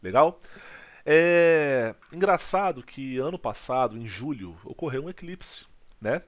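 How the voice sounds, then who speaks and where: Male teacher from Brazil giving a video lesson, lively, keeps asking if students follow. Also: medium pitch, unhurried. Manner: lively teacher, checks in with "legal?" and "tá?". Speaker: physics teacher.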